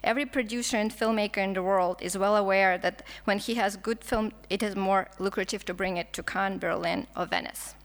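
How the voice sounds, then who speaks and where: A Russian-accented woman delivers a scholarly conference talk at a middling pitch, tripping over words and restarting often. Her voice rings out with the long reverb of a lecture hall. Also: steady tone. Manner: halting, frequent restarts and fillers. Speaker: film historian lecturer. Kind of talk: academic conference talk, read from notes.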